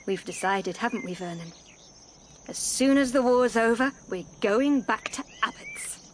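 Earnest young Englishwoman, very lively pitch. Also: unhurried, a little hiss or hum. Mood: hopeful. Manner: earnest, polite. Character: Nell, earnest, genteel young English nurse and wife. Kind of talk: radio drama dialogue.

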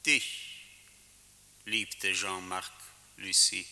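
An actor in a German radio play voicing Death as a taunting, seductive, solemn figure with a poetic, drawn-out, incantatory delivery. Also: male voice, deep voice, steady tone, sharp tone, exaggerated voice. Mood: solemn, commanding. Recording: long reverberant hall sound.

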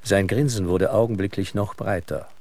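A man's voice: lowish voice, fairly even intonation, moderate pace. A man delivering scripted literary narration in a radio play.

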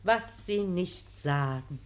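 A woman playing a sharp-witted, mocking society lady in a German radio play, sardonic and teasing. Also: fairly low pitch, expressive, takes it slowly. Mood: curious, amused.

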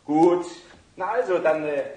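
A man's voice: fairly high pitch, measured pace, lightly acted. A man playing a fawning, self-important schemer in a radio drama, wheedling and pompous with flustered bluster. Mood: wounded, placating. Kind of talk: theatrical radio drama acting.

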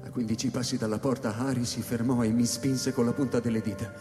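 An actor playing a haunted, lovestruck scientist who narrates a radio drama with intense, broken phrasing. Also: male voice, medium pitch, level tone, a little fast, close to an everyday voice. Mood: grave, subdued. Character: haunted male scientist narrating, tormented and in love. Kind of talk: theatrical radio drama, first-person narration and dialogue. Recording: roomy, long hall reverb under music. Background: music.

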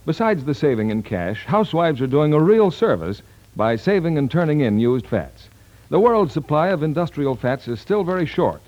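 American guy announcing on old radio, very lively delivery, reading ad copy, muffled 40s sound. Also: a little high, normal speed. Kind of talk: scripted 1940s radio announcing and advertising. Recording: band-limited 1940s radio broadcast recording.

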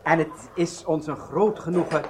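An actor playing an obliging, ceremonious hotel director in a radio play, with a formal and fawningly polite manner. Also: male voice, a little high, steady tone, normal speed, background noise, close to an everyday voice. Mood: obliging, deferential. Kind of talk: Dutch radio play acting.

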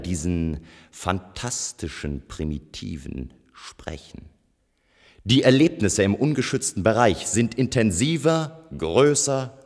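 A man playing a composed, self-assured inventor from a future society in a scripted German science fiction radio play, speaking in a measured, articulate way. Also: on the low side. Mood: rousing appeal. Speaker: narrator and lead character in a radio play. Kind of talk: scripted German radio drama, first-person narration.

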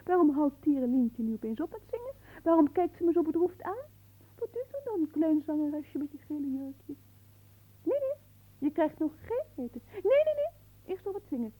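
A vain noblewoman in a radio play, high swoopy voice, cooing then snooty, soft-toned. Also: very animated, normal speed, low-quality recording. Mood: teasing, bossy. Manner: cooing, haughty, affected. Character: vain, capricious marquise doting on her caged songbird. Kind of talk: theatrical radio play acting.

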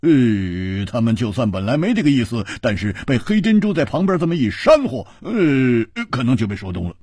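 Man voicing a boisterous, laughing martial-arts hero, pitched up. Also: sing-song, normal speed, a little mellow, husky, dry recording, studio quality. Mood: amused. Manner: exclaiming, stammering through laughter. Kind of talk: Chinese wuxia storybook character voice.

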